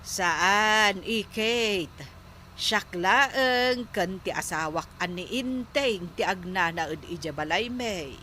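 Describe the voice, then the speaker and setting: A woman's voice: mid-range voice, lively intonation, takes it slowly, bright, forceful tone, a little hiss or hum. A woman playing a dutiful, patient wife and niece in an audio drama, speaking placatingly with an Ilocano accent. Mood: reassuring. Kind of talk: regional audio drama acting.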